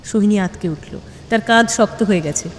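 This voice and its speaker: Female storyteller, mid-pitched, for dramatic thriller narration with measured phrases and pauses, drenched in long reverb. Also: slight background noise.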